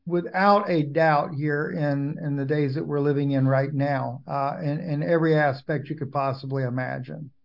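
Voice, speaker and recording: A man's voice: a little high, steady tone, moderate pace, gravelly, hardly any pauses, lo-fi sound. A man speaking as an interview guest, giving discursive conversational answers and often backtracking to rephrase. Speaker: interview guest, Bible prophecy commentator.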